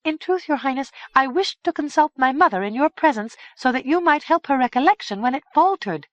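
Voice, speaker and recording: A woman voicing a bold, impatient young fairy girl in a high, eager voice. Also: lively intonation, a little fast, no echo, dull audio. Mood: insistent.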